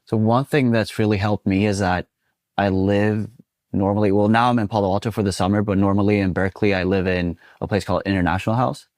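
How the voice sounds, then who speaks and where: A young Swedish man with a fairly low voice talks in a relaxed, chatty way, full of "you know"s. His voice is close and dry, with no room sound at all. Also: quick pace, no room sound. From Sweden. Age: 20s. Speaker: guest. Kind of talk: casual interview.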